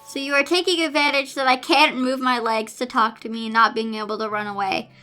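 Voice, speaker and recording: A woman's voice: a little high, fairly even intonation, fairly quick, sharp tone, clear, resonant tone, faint music behind. A woman voicing a depressed, defiant teenage daughter in a storybook reading, blunt and sardonic. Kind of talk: amateur storybook-style reading of fan fiction.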